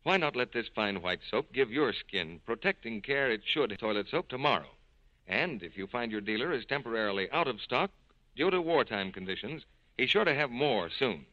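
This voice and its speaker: Man, 1940s radio announcer, polished sales pitch, medium pitch, lively, dry sound. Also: normal speed, no room sound, lo-fi sound.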